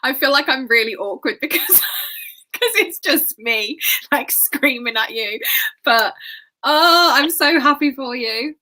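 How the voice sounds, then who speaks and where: Bright, giggly woman on a live stream. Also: high voice, lively intonation, measured pace. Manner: enthusiastic and giggly. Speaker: guest co-host. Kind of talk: casual live-stream chat.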